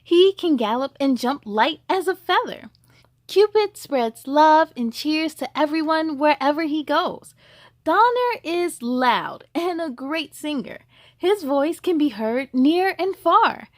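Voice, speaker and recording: An upbeat storybook elf voiced by a woman reader speaks in a high voice. The delivery is proud, emphatic and animated, as if presenting to children. The sound is dry. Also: slow pace, dry recording. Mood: bright, admiring.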